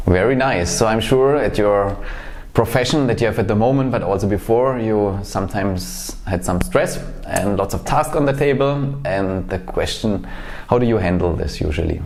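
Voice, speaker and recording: A man's voice: on the low side, moderate pace, a little mellow. A man hosting a podcast, asking questions and summing up the guest's points in a friendly way. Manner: friendly, asks and sums up questions. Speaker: podcast host. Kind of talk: casual podcast interview.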